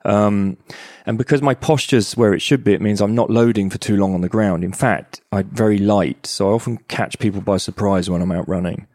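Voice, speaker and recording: A man's voice: fairly low pitch, fairly quick, few pauses, darkish tone, no echo, studio quality. A man who is a guest on a podcast, talking enthusiastically in rambling bursts, with frequent restarts. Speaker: guest, movement coach. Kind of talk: conversational podcast interview.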